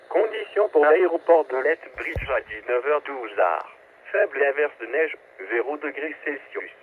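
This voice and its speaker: A man's voice: a little high, a little hiss or hum. A synthetic male voice reads scripted weather forecasts in clipped, impersonal phrases over a radio. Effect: radio transmission. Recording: narrowband, staticky weather radio broadcast.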